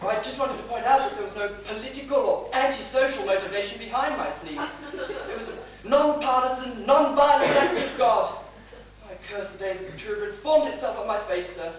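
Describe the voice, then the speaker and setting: A woman's voice: on the high side, moderate pace, lightly acted. A woman playing an anxious, over-apologetic young male clerk in a farcical stage comedy, flustered and stammering as she pleads. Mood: pleading. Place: small theatre stage, far from mic. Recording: distant, echoey, noisy theatre stage recording.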